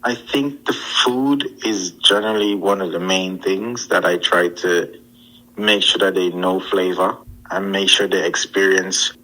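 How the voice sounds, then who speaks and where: A man, answering interview questions thoughtfully and pausing to find his words. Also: low voice, fairly even intonation, pushed and bright, low-quality recording. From Guyana. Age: 30s. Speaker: interview guest.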